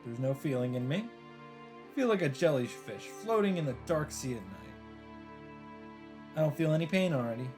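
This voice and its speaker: A man's voice: a little high, sing-song, normal speed, pauses often. A man reading visual novel narration aloud as a let's player, dropping in casual, wry asides. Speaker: video game let's player. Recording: music behind the voice.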